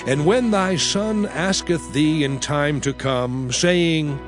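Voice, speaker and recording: A man narrating a dramatized King James scripture reading in a slow, grave, emphatic declamation. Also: a little high, music in the background.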